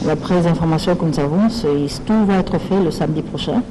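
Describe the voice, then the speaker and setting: A man hosting a studio interview show, speaking haltingly with many pauses and fillers. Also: fairly high pitch. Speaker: TV host. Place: TV studio set. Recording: steady background noise.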